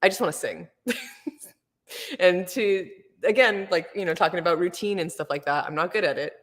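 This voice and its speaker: A woman chatting casually with a low voice, rambling with lots of fillers and laughing often. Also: normal speed, big-room sound. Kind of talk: casual chat.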